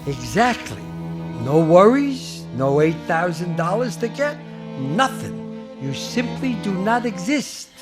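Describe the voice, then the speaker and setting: Eager old-fashioned angel,, fairly high voice, radio play. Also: male voice, very animated, slow pace, music in the background, exaggerated voice. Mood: gentle. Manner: quaint, eager, genteel. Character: gentle, fussy, old-fashioned male angel. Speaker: radio actor. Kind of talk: 1940s radio acting.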